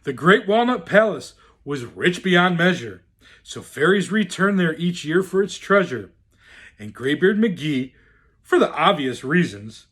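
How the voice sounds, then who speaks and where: A man reading a rhyming children's storybook aloud in a theatrical, deliberate, expressive way. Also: fairly high pitch, breathy edge. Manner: theatrical, deliberate, swooping delivery. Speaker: storyteller in the role of Santa.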